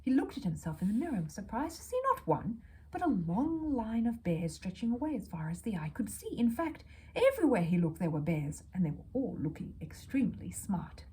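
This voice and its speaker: A woman reading a children's story aloud as an expressive narrator. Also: medium pitch, fairly quick, slight background noise, poor audio.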